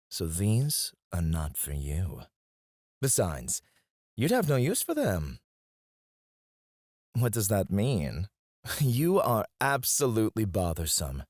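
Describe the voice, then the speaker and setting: A man's voice: fairly low pitch, no room sound, clean studio sound. An actor playing a haughty, sarcastic, prickly and flirtatious male court alchemist in an ASMR fantasy roleplay, with a sardonic drawl. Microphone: close studio mic, dry.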